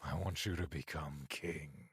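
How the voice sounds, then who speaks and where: Ancient bloodthirsty spirit, extremely low voice, slow delivery, scornful, tempting, theatrical audio drama. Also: male voice. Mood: commanding.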